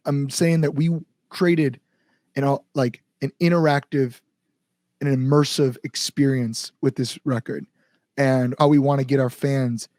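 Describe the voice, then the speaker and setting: An American man chatting casually as an interview guest, telling stories in an animated, informal way. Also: mid-range voice, dry recording. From Chicago area, United States. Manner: animated storytelling, quotes people, frequent "like", informal swearing. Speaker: band singer, interview guest. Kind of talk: casual podcast conversation.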